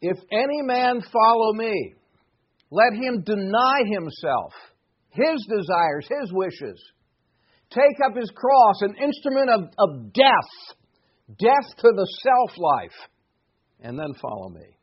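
A man's voice: high voice, sing-song, slow pace, husky, muffled recording. A man in his sixties preaching a sermon in an earnest, emphatic way, driving points home by repeating phrases. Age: 60s.

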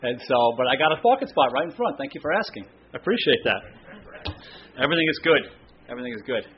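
A middle-aged man preaching a sermon into a stand microphone in a church, with a loud voice, telling casual self-deprecating stories and slowing down to read scripture. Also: mid-range voice, very animated, lo-fi sound. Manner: chatty, self-deprecating storyteller, slows down for scripture reading. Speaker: pastor. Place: church sanctuary. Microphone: stand microphone, stays close.

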